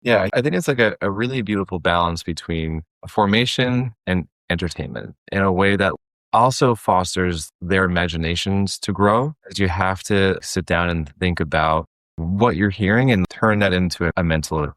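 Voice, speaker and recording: A man's voice: low-pitched, normal speed, fairly bright tone, dry recording. A man in his thirties giving casual, conversational answers as a podcast guest. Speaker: podcast guest, audio drama director.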